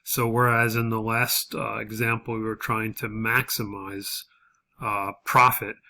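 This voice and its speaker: A man giving a step-by-step tutorial as an instructor, speaking haltingly with frequent pauses and hesitations. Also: on the low side, flat delivery, gravelly, pushed and bright, a little hiss or hum. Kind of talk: spreadsheet tutorial, explaining step by step.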